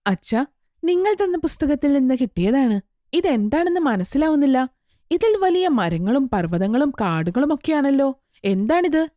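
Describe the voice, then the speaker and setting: A woman's voice: sits high, big pitch swings, moderate pace, mellow, no room sound, clean studio sound. A woman voicing outspoken, questioning young daughters of a goldsmith in a children's story. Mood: curious, insistent. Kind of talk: children's story character acting.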